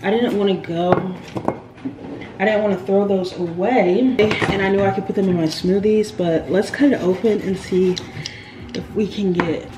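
A young woman chats straight to her viewers in a casual, playful way. Her voice bounces off the walls of a kitchen. Household noise runs clearly underneath. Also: mid-range voice, steady tone, normal speed, roomy sound. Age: young adult. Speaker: vlogger. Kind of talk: casual vlog chat to camera. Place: home kitchen, indoors. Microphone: camera on the counter.

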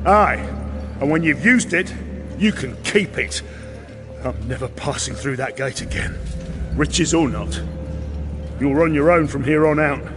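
An actor playing a weary, blunt mercenary captain in an audio drama, plain-spoken and used to command. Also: male voice, fairly high pitch, animated, a little slow, long pauses, over music. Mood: wary, resigned.

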